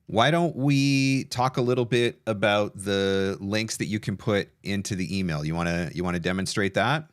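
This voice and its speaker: A man's voice: lowish voice, moderate pace, hardly any pauses, no echo, studio quality. A man hosting a live stream in a casual, conversational way. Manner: conversational, informal. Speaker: host of a live stream session.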